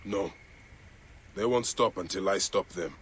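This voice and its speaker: An actor playing a brooding, vengeful Egyptian warrior in a video game, gravelly, grave and intense. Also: male voice, low voice, a little slow. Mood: resolute. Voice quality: gravelly, husky. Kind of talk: dramatic video game acting.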